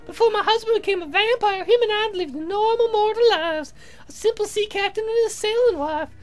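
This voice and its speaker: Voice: extremely high pitch, a little fast, faint music behind, voice pushed up. A woman voicing a gracious, protective old sea captain's wife, polite and old-fashioned, for a visual novel game. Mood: genteel.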